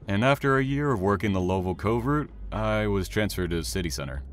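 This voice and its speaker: A man playing a reserved, quietly intense train operator with veiled menace in an audio drama, speaking in a measured, courteous way. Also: fairly low pitch, expressive, slight background noise, natural voice.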